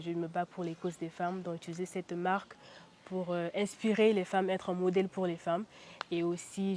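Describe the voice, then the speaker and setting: A woman's voice: lowish voice, a little mellow, slight background noise. A young woman from the Central African Republic giving casual interview answers, chatty and often hesitating with "euh". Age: young adult. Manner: chatty, frequent hesitations with "euh", laughs now and then. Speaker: interviewee, beauty pageant titleholder.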